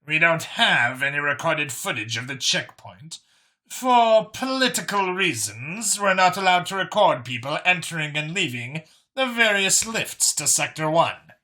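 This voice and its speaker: Man voicing a firm, high-pitched alien female official. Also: big pitch swings, a little slow, bright, forceful tone, exaggerated voice. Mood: firm, measured. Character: composed alien woman, council official, firm and businesslike. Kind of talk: audiobook character acting.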